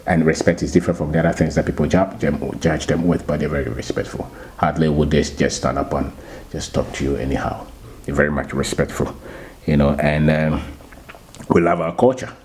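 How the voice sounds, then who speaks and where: A middle-aged man from Ghana with a Ghanaian accent, talking casually to his channel, unhurried and often repeating himself. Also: very deep voice, fairly even intonation, darkish tone, a little hiss or hum. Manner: unhurried, repeats words and restarts, chuckles at himself. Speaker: channel host. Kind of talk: casual talk to camera.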